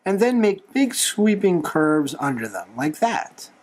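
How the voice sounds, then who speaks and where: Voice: medium pitch, big pitch swings, unhurried, darkish tone. A tutorial host talking through a drawing step by step in a playful, encouraging manner. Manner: playful, light humour, encouraging.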